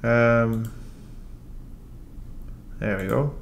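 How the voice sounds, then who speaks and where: A man in his forties teaching a software tutorial in a relaxed, step-by-step way, with frequent ums. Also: medium pitch, extremely slow, gravelly, slight background noise. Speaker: software trainer. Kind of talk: casual screen-share tutorial. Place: room with a brick wall and whiteboard, then voice-over of screen recording.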